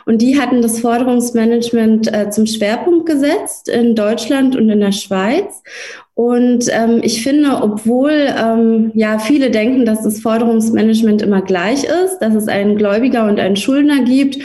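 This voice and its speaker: A woman lawyer, Russian her first language, explains her work in an interview. Her voice sits a bit high and sounds mellow and soft. She speaks thoughtfully, often pausing with um. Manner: thoughtful, many hesitations with um. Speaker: lawyer, guest. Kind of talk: interview answers.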